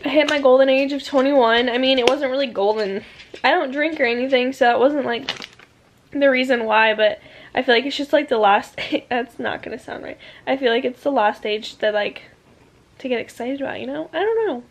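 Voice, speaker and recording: A woman's voice: sits high, fairly quick, a little hiss or hum. Chatty young woman vlogging at home. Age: 20s. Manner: chatty, rambling with many fillers. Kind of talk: casual vlog chat.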